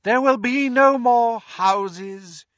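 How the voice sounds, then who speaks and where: A man voicing a cruel dark lord with a soft, hissing, silky voice, drawling his words slowly and mockingly. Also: extremely high pitch, no room sound, highs cut off, voice pushed up, full character voice. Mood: cold, quiet. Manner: slow, drawn-out, mocking.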